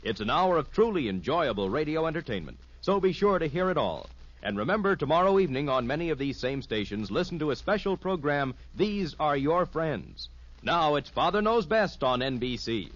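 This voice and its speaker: Male vintage radio promo announcer, emphatic and polished, highly varied pitch, muffled 1950s broadcast sound. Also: big pitch swings, moderate pace, a bit bright. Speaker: network announcer. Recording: dull old radio recording.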